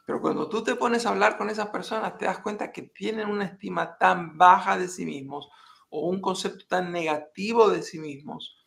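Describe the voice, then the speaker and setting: Gravelly man posing deep questions. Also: a bit bright. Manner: measured, poses questions to the listener. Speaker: life coach. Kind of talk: reflective motivational talk.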